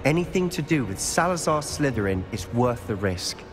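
An actor with a British accent playing an earnest, headstrong teenage schoolboy wizard in a video game, speaking persuasively. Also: male voice, mid-range voice, sing-song, moderate pace, lightly acted. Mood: insistent. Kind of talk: video game dialogue acting. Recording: music under the voice.

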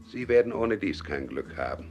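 An odd hunchbacked scholar in a radio play, really low voice, dry n cryptic, music behind. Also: male voice, very animated, moderate pace, darkish tone. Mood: wry, melancholy. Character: eccentric hunchbacked lizard scholar, cryptic and dryly blunt. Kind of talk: literary radio play acting.

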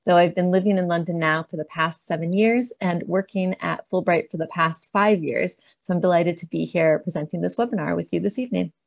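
A woman in her thirties with a Chicago accent, introducing herself on a video call. Her voice sits low and has a gravelly edge, and she speaks in a steady, warm way. The sound is dry and close. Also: fairly quick, few pauses, dry recording. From Chicago, USA. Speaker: education advisor. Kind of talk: webinar self-introduction.

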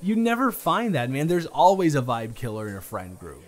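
A man's voice: medium pitch, wildly swooping pitch, normal speed. A man acting out a playful, flustered young guy on a first date in an improvised audio roleplay, teasing and stammering with mock indignation. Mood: enthusiastic, playful. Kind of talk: improvised romantic audio roleplay, casual chat.

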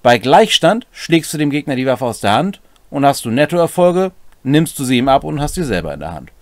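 Male co-host, middle-aged, animated melody, mid-pitched, dry close sound, wry rules explainer. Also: fairly quick, no room sound. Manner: colloquial, wry commentary. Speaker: host of a tabletop role-playing rules series. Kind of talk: casual explanation of game rules with asides. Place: small furnished room at home, indoors.

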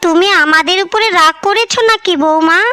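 A woman's voice: extremely high pitch, fairly quick, pushed and bright, no echo, soft background music. A woman playing a worried, pleading older village mother-in-law in a moral-story cartoon, coaxing and reproachful.

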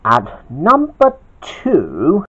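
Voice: mid-range voice, huge pitch swings, extremely slow, pushed and bright, breathy edge. An amateur storyteller narrating aloud with an emphatic, stop-start delivery. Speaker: storyteller, channel host. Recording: dull, lo-fi home recording.

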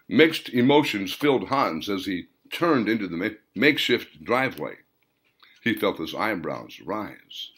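A man reading a story as a scripted audiobook narration, in deliberate, dramatic phrases broken by pauses. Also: on the low side, a bit bright, husky. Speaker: author reading own novel, narrator.

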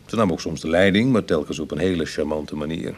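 A man's voice: extremely low pitch, quick pace, breathy edge, exaggerated voice. An actor playing a self-deprecating ex-burglar in his thirties, talking dryly and colloquially in a Dutch radio play. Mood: wry. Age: 30s.

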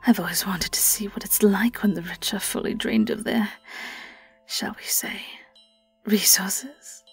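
A woman playing a sly, vengeful vampire in an audio roleplay, with a British accent, a breathy, often whispered voice and a taunting, theatrical delivery. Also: mid-range voice, measured pace, soft background music. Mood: savouring, sly. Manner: taunting, theatrical, lingering on words. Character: vengeful young female vampire, sly, gloating and predatory. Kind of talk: dramatic audio roleplay monologue.

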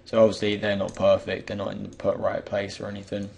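A young man with a croaky voice, just woken up, thinking aloud with many pauses as he talks through a software tutorial. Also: fairly low pitch, monotone, a little hiss or hum. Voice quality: croaky, gravelly. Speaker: tutorial creator. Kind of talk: screen-recorded software tutorial.

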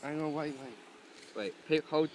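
A young man landing a fish by a lake, giving quick, bossy instructions to a friend. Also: on the high side. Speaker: angler. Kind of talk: casual chat while fishing. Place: outdoors by a lake. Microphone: phone camera held by a friend. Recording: loud outdoor noise on a phone video.

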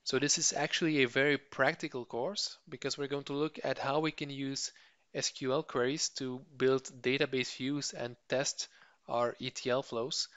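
Even-toned male course instructor, dry lo-fi. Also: medium pitch, normal speed, clean tone, dry recording. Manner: steady explanatory delivery with occasional fillers. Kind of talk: slide-based course introduction. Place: voice-over for slides.

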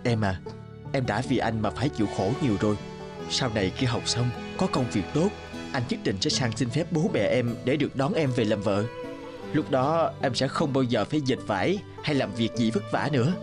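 A man's voice: medium pitch, expressive, moderate pace, music in the background, close to an everyday voice. A man playing an earnest, anxious young employee in a cartoon drama, speaking in a pleading way. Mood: tender. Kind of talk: dubbed cartoon drama acting.

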